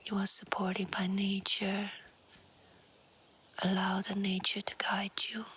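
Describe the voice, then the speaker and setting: Hushed, whispery woman guiding slow meditation. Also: medium pitch. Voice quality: soft, breathy, whispery. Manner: calm, hushed, gentle, with short pausing phrases. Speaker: yoga nidra meditation guide. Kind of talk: guided relaxation meditation. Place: outdoors in nature. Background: faint natural ambience.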